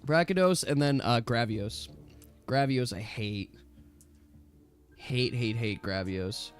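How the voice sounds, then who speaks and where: A man chatting casually and at length as a gaming stream host, emphatic and animated, often correcting himself. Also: medium pitch, slow. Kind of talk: casual rambling gamer chat. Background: background music.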